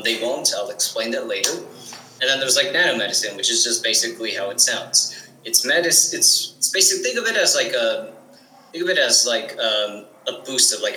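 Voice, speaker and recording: A man's voice: lowish voice, pushed and bright, echoey room, a little hiss or hum, low-quality recording. A teenage boy answering interview questions eagerly, with frequent restarts and fillers. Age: teens. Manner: eager, restarts often, many likes and ums. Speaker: guest.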